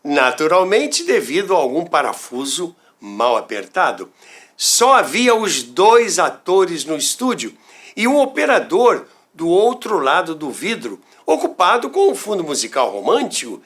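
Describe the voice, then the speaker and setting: A man with a Brazilian accent narrating scripted stories about radio history as a host, in a deliberate, dramatic storytelling manner. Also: on the high side, big pitch swings, a bit bright.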